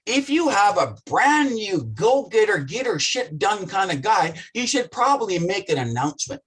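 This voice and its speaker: A man with a rough voice talking passionately and bluntly in a casual business discussion, swearing often. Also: sits high, very animated, moderate pace, a bit bright.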